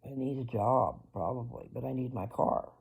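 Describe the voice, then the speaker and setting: An older woman with a gravelly, husky voice. She rambles loosely through a casual personal vlog and talks straight to her viewers. Her tone is dark and mellow. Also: very deep voice, moderate pace. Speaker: vlogger.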